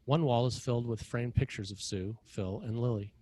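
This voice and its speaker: A man narrating scripted screenplay directions in a measured, matter-of-fact way with short clipped phrases. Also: medium pitch, darkish tone, dry recording.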